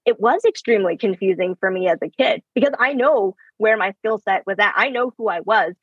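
Husky young woman explaining. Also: mid-range voice, brisk, no room sound, lo-fi sound. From Canada. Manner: articulate, repeats words at starts, laughs lightly. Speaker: podcast guest, brand strategist. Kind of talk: conversational interview answers.

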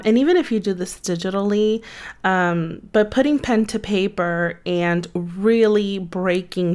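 A woman's voice: medium pitch, smooth. A woman hosting her own podcast, talking through the episode in a casual, conversational way with frequent "um"s. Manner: conversational, unhurried, frequent fillers. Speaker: podcast host and marketing agency CEO. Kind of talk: solo podcast talk.

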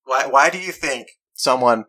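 Bright-voiced young man arguing earnestly. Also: mid-range voice, normal speed. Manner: earnest, argumentative, reads quotes aloud. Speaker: podcast host. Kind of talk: casual debate chat.

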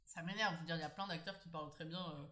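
A woman hosting a casual chat podcast, telling stories in a lively way. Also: low voice, rapid-fire. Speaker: podcast host.

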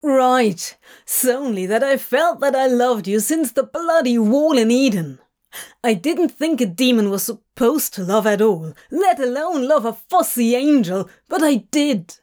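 A woman voicing a volatile, sharp-tongued male demon, ranting and shouting in anguish. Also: on the high side, animated, a little slow.

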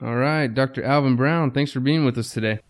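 A man's voice: mid-range voice, fairly quick. A man hosting a podcast, introducing the episode and welcoming a guest in an upbeat, enthusiastic way. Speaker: podcast host. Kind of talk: scripted episode intro and interview questions.

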